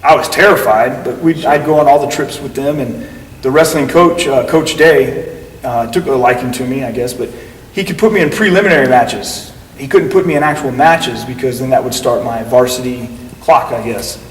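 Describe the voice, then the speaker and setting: A man's voice: mid-range voice, moderate pace, recorded from a distance. Rambling man in an echoey room. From United States. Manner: rambling, full of fillers and acted-out quotes. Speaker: guest wrestler. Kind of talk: casual interview storytelling. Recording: echoey room heard from afar with faint noise.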